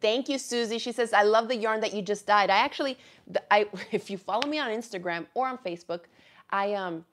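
A woman's voice: mid-range voice, a little fast, a little hiss or hum. Chatty woman hosting a craft live chat. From Miami, USA. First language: Spanish. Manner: chatty and animated, laughs often, reads viewer comments aloud. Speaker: craft channel host. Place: small indoor craft room with quilts on the wall.

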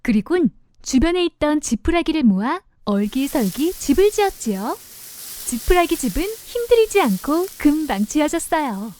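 Female children's storyteller: high voice, lively intonation, expressive, simple narration for little ones. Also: normal speed.

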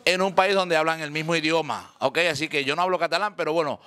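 A man's voice: on the high side, quick pace, bright, forceful tone, clear, resonant tone. A man in his forties, a salsa singer at an indoor press conference, talking effusively and telling jokes with frequent laughter. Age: 40s. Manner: boisterous, tells jokes, laughs often. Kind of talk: joking, effusive press talk. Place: indoor restaurant, seated at a table. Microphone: table microphones, later handheld mic.

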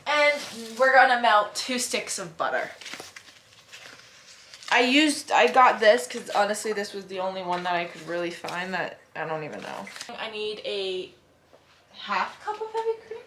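Young woman, home cooking vlogger, bright voice, slangy and unscripted, roomy kitchen sound. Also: medium pitch, big pitch swings, measured pace. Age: young adult. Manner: informal, slangy, thinking aloud. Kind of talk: casual vlog chat while cooking. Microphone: camera set up a step away. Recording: echoey room, faint noise, kitchen clatter.

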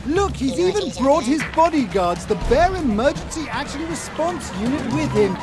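A man's voice: very high-pitched, big pitch swings, moderate pace. A man with a British accent playing a fussy, kindly children's storybook narrator in a game, theatrical and quaintly polite. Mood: cheerily curious. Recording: music under the voice.

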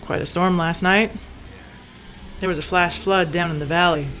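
An American actress playing courteous, slightly hesitant small-town women, a sheriff and a wife, in an audio drama. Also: female voice, fairly low pitch, fairly even intonation, moderate pace, fairly bright tone, slight background noise, lightly acted, rough recording. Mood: matter-of-fact.

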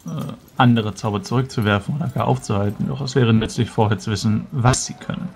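A man with a gravelly, mid-range voice speaks in character at a fantasy tabletop game. He words things politely and a little old-fashioned, with hesitations. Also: medium pitch, steady tone, fast talker, darkish tone, slight background noise. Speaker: tabletop role-player.

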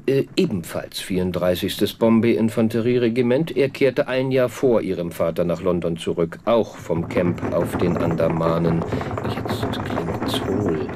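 Analytical detective, self-assured, dry, lowish voice, slow delivery, radio play. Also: male voice, noisy background. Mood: pondering, assured. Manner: clipped, deliberate, dry. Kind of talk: radio drama acting.

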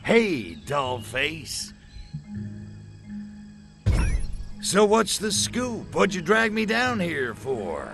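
A crude, flirty alien invader in a game cutscene. His delivery is cocky and slangy, with a fairly high, gravelly voice that swoops around. Music plays behind him. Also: huge pitch swings, slow pace, exaggerated voice. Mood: smug. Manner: brash, slangy wise guy. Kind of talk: video game cutscene acting.

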